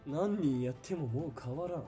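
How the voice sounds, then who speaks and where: A man playing a ruthless, greedy military police commander in a period radio drama, domineering and sneering, moving between cold murmurs and shouting. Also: fairly high pitch, a little fast, faint music behind, lightly acted. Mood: manic, menacing.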